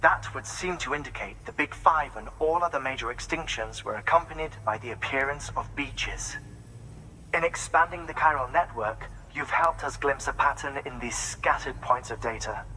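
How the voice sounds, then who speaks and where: Male actor as scholarly scientist, mid pitch, crisp forceful tone, measured didactic exposition, video game cutscene, faint noise. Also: lightly acted, low-quality recording. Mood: earnest. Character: earnest, scholarly male scientist explaining his findings.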